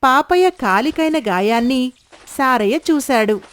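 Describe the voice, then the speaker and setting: A woman's voice: high-pitched, expressive, a little slow, a bit bright. A woman narrating a moral folk tale in a scripted storybook style.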